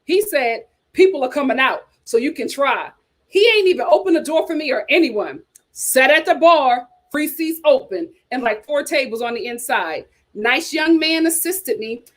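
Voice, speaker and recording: A woman's voice: sits high, lively intonation, moderate pace. An American woman hosting a casual chat show, reading aloud and commenting with playful, teasing asides. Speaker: host of a reality TV chat show.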